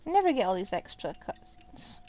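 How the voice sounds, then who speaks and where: A woman's voice: mid-range voice, big pitch swings, normal speed, warm tone, slight background noise. A woman streaming a game, giving casual commentary and musing half to herself.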